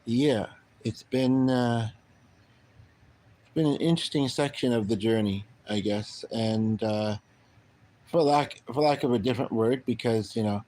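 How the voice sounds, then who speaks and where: A man's voice: mid-range voice, warm tone. Rambling man chatting on a livestream. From Canada. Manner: rambling, halting, many ums and restarts. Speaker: livestream host. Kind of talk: casual livestream chat.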